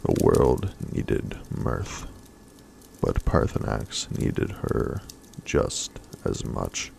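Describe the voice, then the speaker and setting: Male ASMR storyteller, breathy half-whisper, extremely low creaky tone, slow pace, faint background noise. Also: very animated. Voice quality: breathy, hushed, often whispered. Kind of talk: soft-spoken ASMR story reading.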